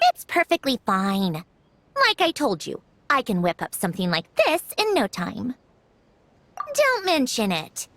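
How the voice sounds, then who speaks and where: A woman's voice: on the high side, wildly swooping pitch, measured pace, a bit bright, exaggerated voice. A woman playing a proud, refined young lady inventor in an anime video game, speaking in a prim, self-assured and articulate way. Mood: prim, gently haughty.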